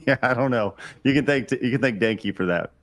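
A man on a livestream panel doing a mock-formal running bit in a dry, deadpan way. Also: medium pitch, fairly quick, no room sound. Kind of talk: casual livestream chat.

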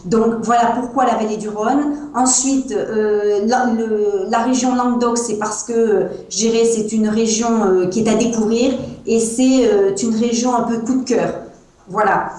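Invitée au ton hésitant: une femme à l'accent provençal, dans un appel vidéo à l'écho très marqué. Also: female voice, fairly high pitch, steady tone, unhurried, dark tone. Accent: Provençal French. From France. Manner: hesitant, many fillers. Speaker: guest, wine seller. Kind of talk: interview over video call. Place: small room at home, indoors. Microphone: earbuds on a video call. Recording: echoey room sound over a video call.

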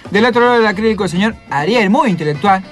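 A man with a Rioplatense Argentine accent hosts a casual radio show. He sounds playful and chatty, often ending phrases with a questioning "eh". Clear music plays under his talk. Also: sits high, lively intonation, fast. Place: radio studio. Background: loud music bed.

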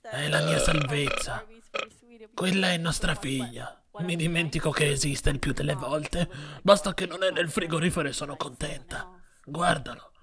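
A man voicing a bitter, world-weary middle-aged cartoon wife who grumbles in a deadpan, creaky way, over music. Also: on the high side, moderate pace. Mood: bitter complaining. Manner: grumbling, deadpan, foul-mouthed. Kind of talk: mock-documentary cartoon confession, Italian dub parody.

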